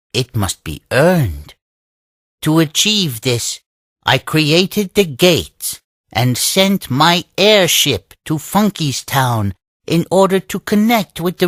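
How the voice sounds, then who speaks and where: An actor playing a wise old creature leader in a video game, telling a tale in a solemn, deliberate, sage-like way. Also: male voice, on the high side, no room sound, studio quality. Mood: earnest, wise. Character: wise old male Oni leader creature, serene storyteller. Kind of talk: scripted storybook narration for a game cutscene.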